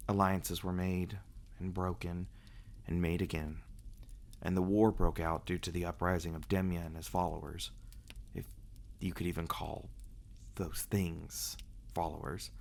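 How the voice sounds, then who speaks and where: A man playing a reclusive, brooding vampire who confides earnestly and haltingly, in an ASMR roleplay audio drama style. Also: low voice, level tone, vocal fry, darkish tone. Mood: grave, regretful. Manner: halting, hesitant delivery with dramatic pauses and sighs.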